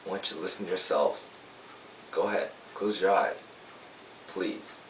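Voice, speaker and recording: A man playing a young, earnest defense lawyer, pleading to a jury in an emphatic, oratorical monologue with deliberate pauses. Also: sits low, close to an everyday voice. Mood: solemn. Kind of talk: dramatic courtroom monologue. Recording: roomy, distant mic, faint hiss.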